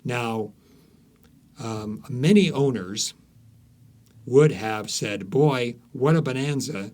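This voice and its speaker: A man's voice: mid-range voice, takes it slowly, a little mellow. A man speaking as an author being interviewed, telling stories conversationally, searching for words and repeating some for emphasis.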